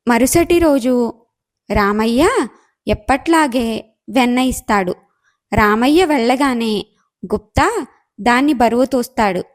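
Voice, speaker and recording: Female storyteller, slightly high voice, scripted moral-tale narration. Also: animated, slow, long pauses.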